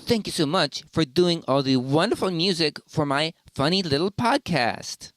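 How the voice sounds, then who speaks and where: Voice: medium pitch, lively intonation, moderate pace, dry recording. A podcast host with a mid-range voice asks questions in casual chat. The delivery is playful and gushing, and the sound is dry and close.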